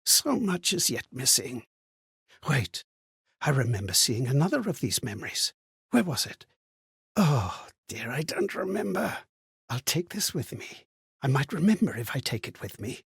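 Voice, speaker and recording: An actor playing a frail, forgetful old man in a video game, anxious and kindly, with a hesitant, plaintive delivery and a husky, breathy voice. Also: mid-range voice, very animated, moderate pace, fairly bright tone, no room sound, studio quality, full character voice. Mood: confused, melancholy. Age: older. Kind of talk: theatrical video game character acting.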